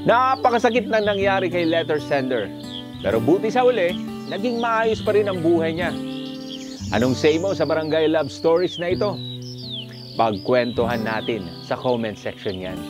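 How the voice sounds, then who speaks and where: An animated, slightly high-voiced man with a Filipino accent hosts on radio. He addresses listeners conversationally over clear background music. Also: moderate pace. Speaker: radio host.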